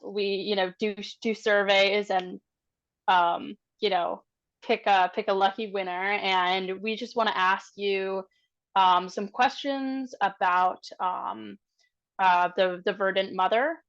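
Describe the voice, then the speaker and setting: A woman playing a tabletop game, improvising casually and thinking aloud in a halting way. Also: mid-range voice, steady tone, fairly bright tone, lo-fi sound.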